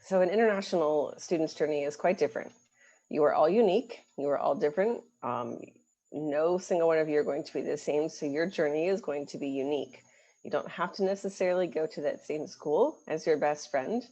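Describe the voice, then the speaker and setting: Woman, from the US, admissions representative, low voice, dull webinar audio. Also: brisk. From United States. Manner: warm, encouraging, with frequent "um"s. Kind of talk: webinar presentation.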